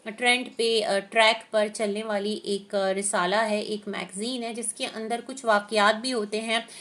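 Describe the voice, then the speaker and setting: A woman reaction vlogger with a sore throat, giving opinionated, explanatory commentary with frequent hesitant pauses. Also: mid-range voice, fairly even intonation, a bit bright.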